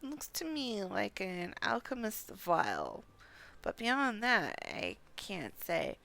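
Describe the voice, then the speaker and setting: A woman voicing a brisk, chatty, motherly female game character, reading the dialogue aloud in a folksy way. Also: mid-range voice, big pitch swings, vocal fry, bright, forceful tone, exaggerated voice. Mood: reassuring, curious.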